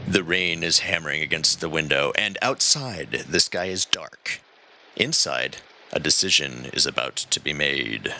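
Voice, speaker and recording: A man's voice: extremely low pitch, lively intonation, unhurried, noisy background. A man co-hosting a story podcast, introducing and reading prompts in a casual but theatrical style. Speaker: podcast co-host.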